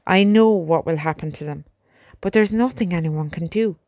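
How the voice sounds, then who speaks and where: A woman reading aloud, voicing a reluctant male army major who delivers bad orders slowly. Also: on the low side, darkish tone, no echo, clean studio sound, close to an everyday voice. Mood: resigned. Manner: slow, measured, subdued.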